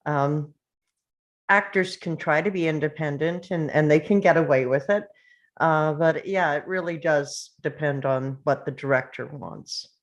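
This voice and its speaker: A woman in a casual group discussion, giving her opinion haltingly, with hesitant starts. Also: low-pitched, lo-fi sound. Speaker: actor on a discussion panel.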